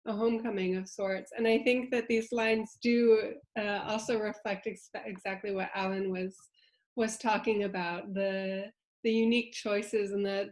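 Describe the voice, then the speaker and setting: A woman on a translation prize committee, talking in a slow, reflective way with many pauses and fillers in a roundtable discussion. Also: medium pitch, rough recording. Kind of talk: roundtable discussion, reading poetry aloud.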